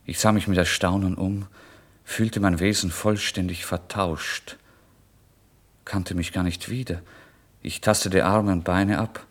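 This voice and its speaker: A starving, feverish man with a lowish voice, proud and volatile. He tells his own story as a dramatic literary radio monologue. His delivery is theatrical and intense, able to move between hushed confession and forceful declaration. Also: fairly even intonation, a little slow. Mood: weary, dazed. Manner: theatrical, intense, swinging from hushed to loud outbursts. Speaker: first-person narrator.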